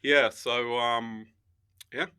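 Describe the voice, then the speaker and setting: A man chatting casually as a comedian guest on a podcast, wry and bantering and quick to laugh. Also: medium pitch, steady tone, sharp tone, clear, resonant tone.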